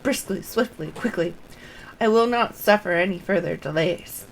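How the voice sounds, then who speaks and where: A woman's voice: lowish voice, normal speed, vocal fry, a little hiss or hum, poor audio. A woman reading the part of an imperious, impatient patriarch in a fantasy game, giving scornful orders.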